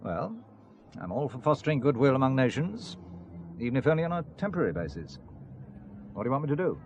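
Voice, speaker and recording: Reluctant amateur spy, English gentleman played by a male actor: understated, wry, varied intonation, audio drama. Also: medium pitch, sing-song, fast talker, highs cut off, background noise. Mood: dry, wry.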